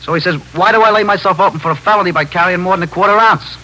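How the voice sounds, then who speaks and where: An actor playing a nervy, talkative small-time drug pusher in a 1950s radio drama, rambling on in streetwise slang and justifying himself. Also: male voice, high-pitched, rapid-fire, pushed and bright. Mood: rambling, rueful. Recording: noisy 1950s radio broadcast recording with hum.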